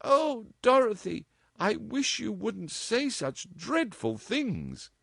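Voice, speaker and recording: A man reading aloud and voicing a gentle, prim mother who speaks hesitantly and with worry. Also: very high-pitched, very animated, no room sound, pitched above the performer's own voice, exaggerated voice. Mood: prim worried. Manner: prim, hesitant, slow and anxious.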